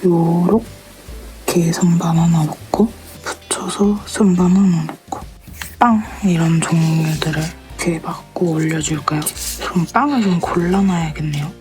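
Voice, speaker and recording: Woman, lower voice, a bit rough from a cold, easygoing craft vlog chatter over music. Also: steady tone, measured pace. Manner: chatty, thinks aloud, asks herself questions, soft asides. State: has a cold, voice a little rough. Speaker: stationery craft vlogger. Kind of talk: casual narration while crafting.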